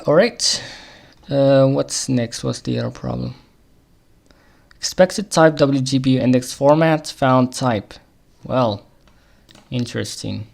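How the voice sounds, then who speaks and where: A man with an Arabic accent and a gravelly, fry-laden voice talks himself through a stubborn bug on a live coding stream. He mutters half-finished thoughts and reads error messages aloud, breaking into short chuckles. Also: medium pitch. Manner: muttering to himself while debugging, quick chuckles.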